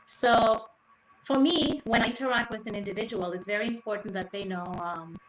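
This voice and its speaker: A woman teacher from Sri Lanka speaks earnestly on a panel, pausing often with fillers. Her voice sits in a reverberant room with a faint background hiss. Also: mid-range voice. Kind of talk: panel discussion remarks. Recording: echoey room, faint noise.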